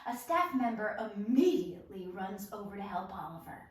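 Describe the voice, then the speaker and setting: A woman reading stage directions at a table read in a lively, theatrical narrating style, acting out sound effects. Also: medium pitch, moderate pace. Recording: echoey room, distant mic, music behind.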